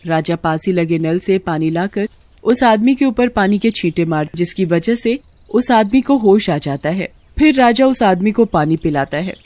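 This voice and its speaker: A woman's voice: mid-range voice, animated, normal speed, darkish tone. A woman narrating a scripted moral story.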